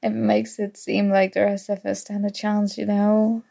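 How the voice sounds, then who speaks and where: Woman acting a slow-talking, mellow tsundere student rival. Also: medium pitch, level tone, creaky. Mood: candid, concerned. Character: flustered, teasing young woman student, tsundere academic rival. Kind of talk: intimate ASMR roleplay audio drama.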